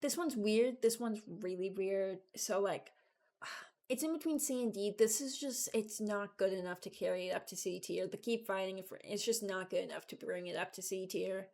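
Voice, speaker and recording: A woman's voice: mid-range voice, normal speed, a little mellow. A young adult woman giving informal, candid commentary as she ranks things, rambling as she thinks aloud. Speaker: video creator. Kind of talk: casual ranking commentary.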